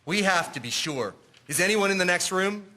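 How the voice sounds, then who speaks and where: A man's voice: sits high, lively intonation, moderate pace, sharp tone. A young man playing a helpful airman clerk in a historical audio drama. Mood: anxious. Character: helpful young airman clerk, anxious after accidental shot.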